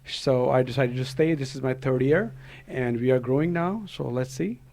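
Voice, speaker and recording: A man in his thirties from Pakistan, a doctor guesting on a radio show, speaking in an earnest, halting way with many fillers. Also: medium pitch, vocal fry, a little mellow. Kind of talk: casual interview talk. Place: radio studio.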